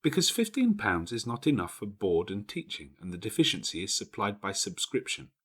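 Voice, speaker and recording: A man voicing a studious orphan schoolgirl who explains things in a measured, matter-of-fact way for an audiobook. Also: lowish voice, wildly swooping pitch, a bit bright, husky, heavily acted. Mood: matter-of-fact, patient.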